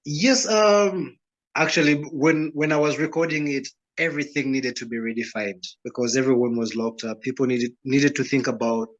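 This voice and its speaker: A man speaks in a calm, measured way, taking his time with pauses. His voice sits at a middle pitch. The recording sounds dull and lo-fi. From Uganda, Rwandan roots. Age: 30s. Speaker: guest musician. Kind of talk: interview answers.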